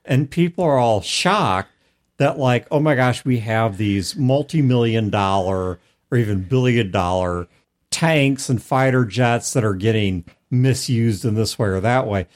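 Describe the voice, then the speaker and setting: A man's voice: medium pitch, a little slow. A man chatting casually as a regular on a group podcast. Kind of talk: casual chat.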